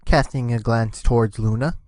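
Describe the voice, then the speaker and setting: A man's voice: mid-range voice, fairly even intonation, normal speed, darkish tone, no echo, clean studio sound. A man giving scripted storybook narration of a cartoon fan fiction.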